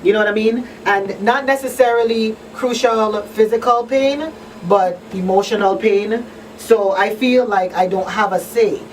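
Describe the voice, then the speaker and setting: A woman's voice: medium pitch, unhurried. Emphatic woman hosting talk radio, slightly distant. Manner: conversational, emphatic repetition, frequent rhetorical check-ins. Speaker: radio talk show host. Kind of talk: live radio discussion. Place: radio talk show broadcast. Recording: distant mic with faint noise.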